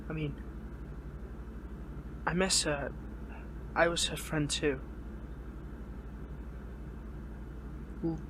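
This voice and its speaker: A man playing a wounded young captive hero in an audio roleplay, wry and defiant, speaking haltingly with a pained, weary strain. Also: a little high, background noise, close to an everyday voice. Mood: subdued, puzzled. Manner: halting, sardonic, stammering. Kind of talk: dramatic audio roleplay, one-sided dialogue.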